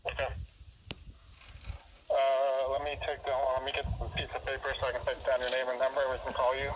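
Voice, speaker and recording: A man's voice: medium pitch, completely flat delivery, brisk. A man working at a store, taking a customer's phone call in a casual, polite, matter-of-fact way with brief answers. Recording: noisy phone call with clicks.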